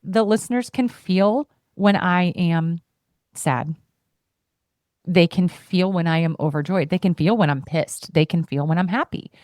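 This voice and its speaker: Passionate, expressive woman podcast host. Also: fairly low pitch, a little slow, no echo, studio quality. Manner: passionate and expressive, heart on sleeve; playful, puts on voices when quoting her family. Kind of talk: casual conversation.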